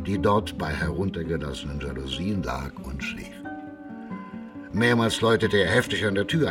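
A man with a fairly low voice narrates a radio drama. His delivery is scripted, dramatic storytelling. Music plays clearly behind him. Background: prominent music.

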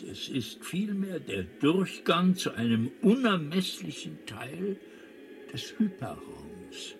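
A proud, eccentric elderly alien in a radio play. He rambles slowly and hesitantly, swinging dramatically in pitch and slipping into breathy confidences. A noisy ambient bed surrounds him. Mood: solemn. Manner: hesitant, rambling, breaks into near-whispers. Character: eccentric, proud alien planet builder, rambling older man.

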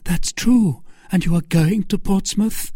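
A man voicing a passionate, scheming noblewoman who flatters and pleads fervently in a storybook reading. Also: high voice, a little slow, breathy edge, dry recording, studio quality, voice pushed up. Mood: questioning, anxious.

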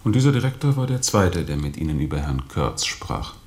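Ein Schauspieler spielt in einem Hörspiel einen Mann, der gemessen und forschend nachfragt. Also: male voice, rumbling low, big pitch swings, a little fast, a little mellow, full character voice. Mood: probing, sober. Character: probing male listener questioning the captain about his journey. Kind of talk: radio drama dialogue.

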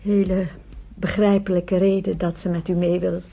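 A woman's voice: fairly low pitch, level tone, moderate pace, creaky, breathy edge. An actress playing a kindly, anxious middle-aged French mother, genteel and hesitant, in a naturalistic radio drama. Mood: anxious, resigned. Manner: genteel, hesitant, softly concerned. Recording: dull old radio play recording.

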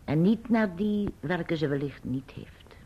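Elderly eccentric aunt, radio play: grimly kind, deliberate, husky, animated pitch, dulled old recording. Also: female voice, a little hiss or hum. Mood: earnest, tender. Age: older. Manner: deliberate, admonishing. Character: grim, kindly old great-aunt, self-confessed difficult eccentric. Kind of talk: Dutch radio play acting. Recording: dull, muffled radio recording.

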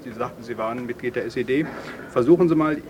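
A man with a mid-pitched voice acts as an opinionated questioner in an audio drama. He speaks deliberately and at length, probing his partner, with faint noise behind. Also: close to an everyday voice.

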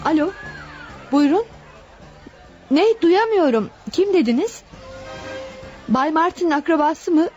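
A woman's voice: very high-pitched, animated, unhurried, long pauses, a little mellow, lo-fi sound, faint music behind. An actress playing a flustered female nurse straining to be heard, in a radio play. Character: flustered female nurse on a bad phone call. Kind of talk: Turkish radio play acting.

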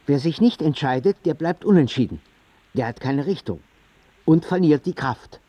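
Radio drama father: sardonic reluctant artist, husky, higher-set male voice with animated swings, close dry sound. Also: moderate pace, no echo. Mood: reproachful.

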